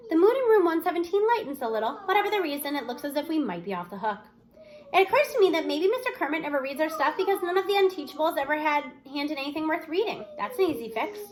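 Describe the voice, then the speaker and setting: A woman reading a children's novel aloud expressively, narrating as a student in the first person. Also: high voice. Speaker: audiobook-style reader.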